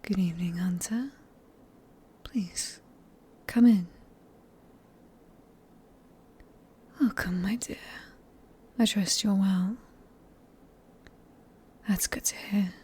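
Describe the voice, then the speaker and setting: A British woman playing a seductive, sadistic female vampire in an ASMR roleplay, speaking in a breathy near-whisper with slow, teasing politeness. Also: mid-range voice, darkish tone. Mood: silky welcome.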